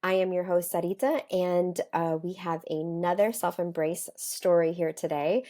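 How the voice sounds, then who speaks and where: Woman host, slightly low voice, calm and soothing, chatty podcast style. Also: moderate pace. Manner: chatty, lots of "like" fillers. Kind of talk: casual podcast interview.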